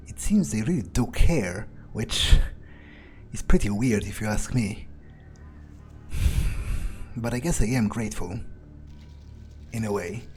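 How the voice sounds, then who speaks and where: A man voicing a cynical, vengeful vampire in a game roleplay, thinking aloud in a deliberate, halting murmur. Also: on the low side, darkish tone, natural voice. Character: brooding, cynical, vengeful male vampire, player's character.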